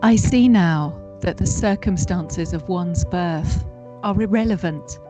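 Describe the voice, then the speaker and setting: A woman playing a wise, reflective figure, delivering a measured, solemn film speech. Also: lowish voice, warm tone, faint music behind, pitched below the performer's own voice, low-quality recording.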